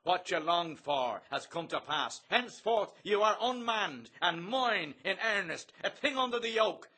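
Bombastic sadistic bully, high bright voice. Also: male voice. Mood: commanding. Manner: bombastic, gloating, wheedling then savage. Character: sadistic, domineering bully brothel boss barking threats. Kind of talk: theatrical literary dramatization.

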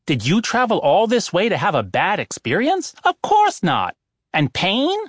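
A grandly preachy old oracle, raised in pitch and swooping all over the place as he lectures, put on by a man reading the story. He sounds lofty and easily exasperated, delivered dry and close. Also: fairly bright tone, no echo, full character voice. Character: pompous, irritable old male oracle.

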